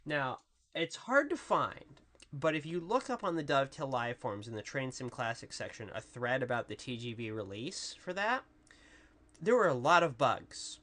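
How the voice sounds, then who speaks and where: A woman with a really deep voice, casually commentating a live game stream and chatting with viewers in a rambling, profane way. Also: expressive, normal speed, fairly bright tone. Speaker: VTuber streamer.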